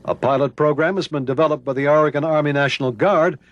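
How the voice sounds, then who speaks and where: A man's voice: a little high, fairly even intonation, quick pace, dry recording, lo-fi sound. A man reading scripted narration for a training film. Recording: noisy 1990s training film soundtrack.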